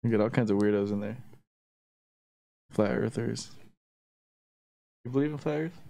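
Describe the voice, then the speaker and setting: Dude with a creaky fry voice just shooting the breeze, slangy, swears a bit, dry recording. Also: male voice, medium pitch, moderate pace, warm tone, dry recording. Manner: profane, slangy, joking. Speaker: podcast co-host. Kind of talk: casual chat.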